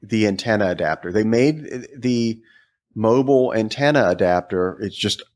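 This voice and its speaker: A man co-hosting a podcast, casually discussing a product in a halting way, as if working it out aloud. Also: fairly low pitch, darkish tone. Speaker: podcast co-host.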